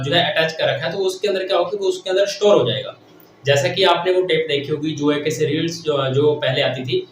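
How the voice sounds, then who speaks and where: A man teaches like a classroom lecturer, explaining step by step, repeating phrases and answering his own questions. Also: a little high. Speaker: technical teacher. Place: echoey indoor room. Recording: very echoey room, distant mic, faint noise.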